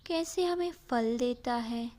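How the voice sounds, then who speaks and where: A woman voicing a concerned, patient mother in a children's story, explaining things in a gently instructive way. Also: on the high side, takes it slowly. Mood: gentle, instructive.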